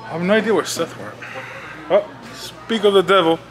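A man's voice: sits high, moderate pace, noisy background. A man in his thirties with a Cuban accent, chatting casually and joking as he browses a toy store while filming with a handheld camera. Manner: laid-back, joking, mumbles asides. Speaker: toy-collecting vlogger. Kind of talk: casual vlog chat. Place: large toy store, indoors. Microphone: handheld camera held close. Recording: busy store chatter behind.

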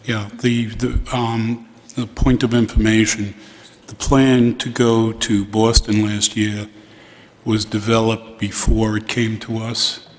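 A middle-aged man speaking formally and deliberately as he chairs a board meeting. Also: on the low side, flat delivery. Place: large indoor council chamber. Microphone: gooseneck microphone on dais. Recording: reverberant meeting hall, faint noise.

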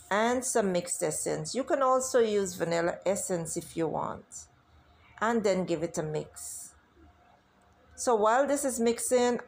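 A woman's voice: lowish voice, a little mellow. A woman hosting a cooking channel, talking viewers through a recipe step by step in a chatty, instructive way. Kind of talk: casual cooking tutorial voice-over.

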